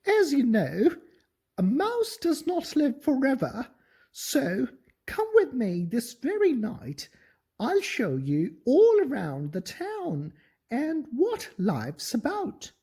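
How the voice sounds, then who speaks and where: A very high, haughty storybook mouse from town. The delivery swings up and down as it urges and looks down its nose with polished condescension. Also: huge pitch swings, slow, mellow, breathy edge, heavily acted. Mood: condescending, persuasive.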